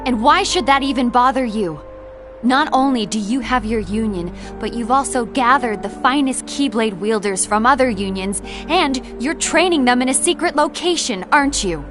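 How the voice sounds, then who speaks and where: A woman's voice: fairly high pitch, expressive, normal speed, lightly acted. An actress playing a poised, probing woman leader in a fantasy game cutscene. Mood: challenging. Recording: music behind the voice.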